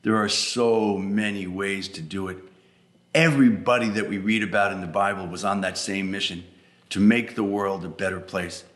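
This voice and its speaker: A man hosting a children's story hour, talking conversationally to young listeners and gently repeating phrases for emphasis. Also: low-pitched, steady tone, normal speed, echoey room. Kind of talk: warm-up introduction addressed to children.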